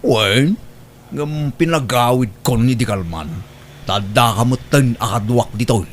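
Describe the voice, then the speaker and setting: A man's voice: mid-range voice, a little slow, a little hiss or hum, natural voice. An actor playing a bossy, practical old man in a comedy drama, speaking matter-of-factly. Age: older. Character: bossy, practical old senior-citizen sweetheart. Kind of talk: Ilocano comedy drama acting.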